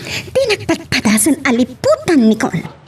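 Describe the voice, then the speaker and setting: Jealous young Ilocano wife female actor: high, swooping voice, suspicious and combative, radio melodrama, slightly distant mic. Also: huge pitch swings, normal speed. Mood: angry accusing. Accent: Ilocano Filipino. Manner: emphatic, confrontational. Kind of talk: melodramatic audio drama acting. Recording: distant mic with faint noise.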